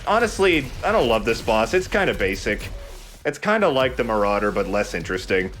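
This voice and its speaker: A man's voice: medium pitch, very animated, brisk, a bit bright. A man hosting a let's play, chatting casually as he comments on the game. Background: game music.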